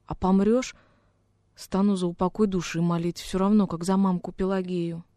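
A woman's voice: lowish voice, level tone, moderate pace, warm tone, no room sound, studio quality, close to an everyday voice. A woman playing a homesick young peasant orphan boy in a radio drama, plaintive and naive, with rustic folk speech. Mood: desperate pleading. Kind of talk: dramatic radio reading of a classic story.

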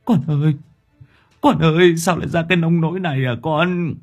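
Man acting a husky, anxious, grieving father. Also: fairly high pitch, a little mellow, lo-fi sound, faint music behind, pitched above the performer's own voice. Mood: weeping. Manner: halting, pleading. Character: anxious father calming wife, grieving for son.

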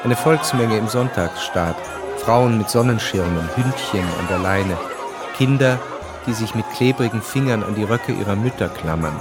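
A man's voice: lowish voice, normal speed, loud music behind the voice, over a noisy crowd. A man giving a scripted narration for a historical radio drama.

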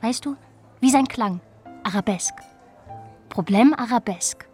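A dreamy, enigmatic young woman played by an actress in a radio drama. Her voice is fairly high and very slow, and she speaks cryptically, as though in riddles. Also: a little mellow, soft background music, lightly acted. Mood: cryptic, intense. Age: young adult. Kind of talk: naturalistic radio play acting.

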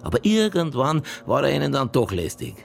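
A sardonic Bavarian police detective in a radio play. The voice is rough and full of fry, moving widely up and down as it presses a suspect with dry insistence. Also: male voice, medium pitch, very animated, brisk, soft background music. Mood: accusing. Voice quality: gravelly, husky. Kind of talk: naturalistic radio drama dialogue.

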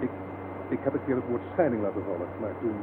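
Actor as pompous general, thin noisy recording. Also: male voice, mid-range voice, lively intonation, fast talker, natural voice. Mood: defensive confessing. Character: boastful middle-aged general under arrest, confessing under threat. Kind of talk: radio play acting. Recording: noisy, thin phone-like old radio recording.

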